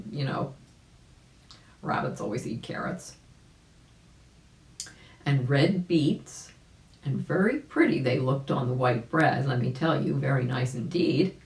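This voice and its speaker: A woman reading a children's story aloud as a volunteer, unhurried and animated, with chatty asides. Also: very deep voice. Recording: roomy, echoing sound.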